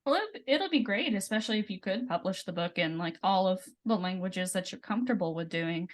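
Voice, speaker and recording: A woman's voice: medium pitch, animated, a little fast. A woman hosting a podcast interview, asking long rambling questions in an enthusiastic, encouraging way. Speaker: podcast host. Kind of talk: casual interview talk.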